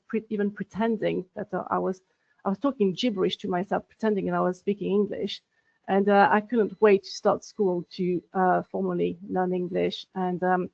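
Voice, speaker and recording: Middle-aged female panelist, French accent, gravelly creak, conversational but halting, slightly muffled call audio. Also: medium pitch, darkish tone. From France. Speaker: webinar panelist, linguist. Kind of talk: conversational career story in a webinar. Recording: dull, lo-fi online call audio.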